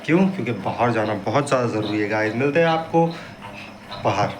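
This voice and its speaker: A young man with a hoarse voice and a blocked nose, giving an upbeat pep talk to viewers in a casual fitness vlog. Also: on the low side, expressive, fairly quick. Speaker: fitness vlogger. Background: street traffic and wind.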